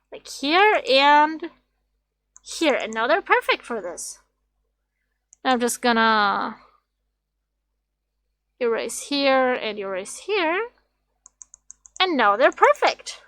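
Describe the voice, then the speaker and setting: Slow, high-voiced woman streamer, slightly raspy. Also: very animated, a bit bright. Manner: rambling, thinking aloud while drawing. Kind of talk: casual drawing livestream chat.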